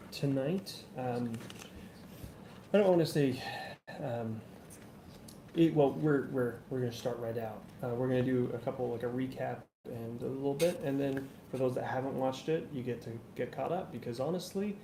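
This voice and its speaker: Game master, male, loud and jokey, casual rambling chat, echoey room, background hiss. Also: medium pitch, normal speed. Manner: laughing, loud voice, rambling intros.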